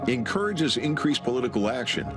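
A man reads scripted narration with a lively, rising-and-falling delivery. Loud music plays behind his voice, and it is clearly paced. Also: mid-range voice, a little fast.

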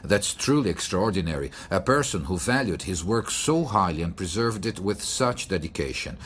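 A man speaks in a voice-over for a translated interview, in a low voice that swings animatedly in pitch. His delivery is earnest, with a faint hum of ambience behind it. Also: lively intonation, normal speed, a bit bright.

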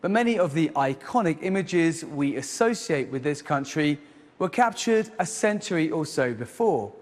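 A man narrating a scripted news report in a measured, even voice. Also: a little high.

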